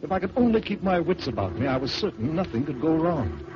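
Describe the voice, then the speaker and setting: A man hosting an old radio storytelling show, reading a ghost story aloud in a measured, theatrical way. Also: fairly high pitch, big pitch swings. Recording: muffled old radio broadcast with hiss.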